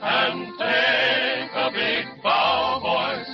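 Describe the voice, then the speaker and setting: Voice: sits high, flat delivery, extremely slow. Performers playing jaunty, boastful cowboys, singing in an old-time radio novelty style. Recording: muffled vintage 1930s radio, loud music.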